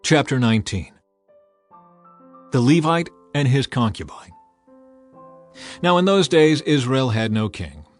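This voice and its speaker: Lower-pitched male scripture narrator over music. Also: big pitch swings, measured pace, long pauses. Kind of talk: dramatized scripture audiobook narration. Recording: music bed under the voice.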